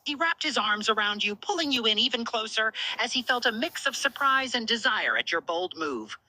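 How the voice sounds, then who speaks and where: A woman reads scripted romance narration. Her voice is very bright, with lively pitch movement and a slightly husky grain. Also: normal speed, low-quality recording.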